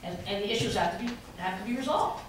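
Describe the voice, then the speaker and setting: A woman's voice: mid-range voice, animated, quick pace. A woman making a public complaint at a city council meeting, with many restarts, growing more heated. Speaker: resident speaking at public comment. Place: large wood-paneled council chamber. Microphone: podium mic recorded by a far camera. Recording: echoey chamber from far off, noisy.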